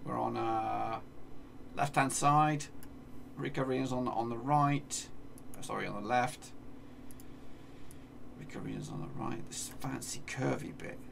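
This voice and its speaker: Gravelly English man muttering game commentary. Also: medium pitch, unhurried, a bit bright, recorded from a distance, a little hiss or hum. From England. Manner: mutters place names to himself, swears playfully. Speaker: gaming streamer. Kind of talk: casual live game commentary. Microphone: desk mic, seen in webcam corner.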